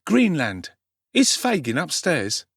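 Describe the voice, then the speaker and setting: A man as a cocky high-pitched street boy. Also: wildly swooping pitch, slow, creaky, fairly bright tone, breathy edge, no echo, clean studio sound, full character voice. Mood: cocky, brisk. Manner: brash, self-assured.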